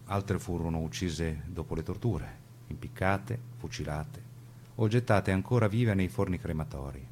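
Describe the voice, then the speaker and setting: A man with a fairly low voice reads a scripted radio narration. His delivery is grave and deliberate, phrased like theatre. A faint noise sits behind the voice.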